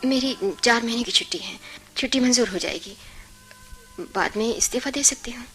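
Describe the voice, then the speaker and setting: An actress in a radio play voicing a resolute, sharp-tongued young working daughter with a firm, outspoken manner. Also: female voice, fairly high pitch, normal speed, soft background music, lightly acted. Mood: calm, resolute. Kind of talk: Hindi radio play acting.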